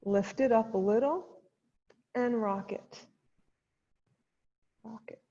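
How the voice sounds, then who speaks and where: Woman, yoga instructor, slow gentle cueing, mellow voice, long reverb, dull recording. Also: a little high, husky. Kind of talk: guided chair yoga instruction.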